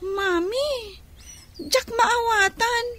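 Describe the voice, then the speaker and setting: A woman's voice: very high-pitched, extremely slow, fairly bright tone, exaggerated voice. A woman acting an innocent, questioning young child in a radio drama. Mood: curious, innocent.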